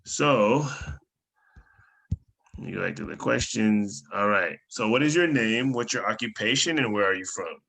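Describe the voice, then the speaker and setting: A man's voice: on the low side, lively intonation, a little slow, creaky, a bit bright. A man interviewing a guest, asking brief, upbeat questions in turn.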